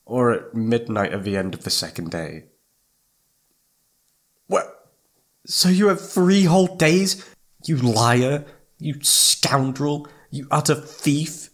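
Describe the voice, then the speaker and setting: Needy young boyfriend, acted by a man, mid-pitched. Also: big pitch swings. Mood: whiny, indignant. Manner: soft pleading, drawn-out words, hesitant. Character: needy, affectionate young boyfriend wanting attention. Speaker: audio roleplay voice actor. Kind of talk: intimate ASMR boyfriend roleplay.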